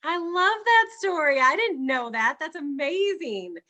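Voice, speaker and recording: A woman hosting a casual chat over a video call. Her voice is bright and fairly high, and she laughs often as she encourages her guest. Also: animated, a little slow. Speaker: host.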